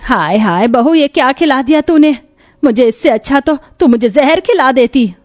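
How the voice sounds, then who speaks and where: A woman's voice: sits high, expressive, moderate pace. A woman voicing a stubborn, fretful elderly mother-in-law in a cartoon story, given to scolding.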